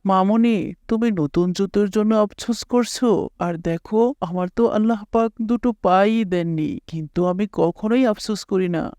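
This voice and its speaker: Someone acting a pious beggar in a cartoon, earnest n preachy, grateful, mid pitch, dry sound. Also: moderate pace, a little mellow, clear, resonant tone, no echo, lightly acted. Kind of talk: Islamic moral cartoon dialogue.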